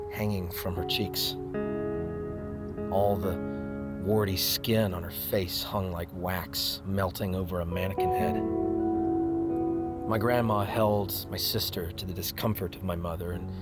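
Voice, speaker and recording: Grumpy wounded narrator, first-person audio drama, low pitch, wry, reflective, loud guitar behind. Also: male voice. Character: grumpy, wounded bookstore manager recalling his family.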